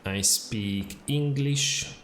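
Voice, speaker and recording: A man's voice: medium pitch, very slow. A man with a Hungarian accent, a native Hungarian speaker, chatting casually on a game live stream with plenty of fillers while answering viewers. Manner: relaxed, chatty, many fillers, answers chat questions. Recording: echoey room with faint noise.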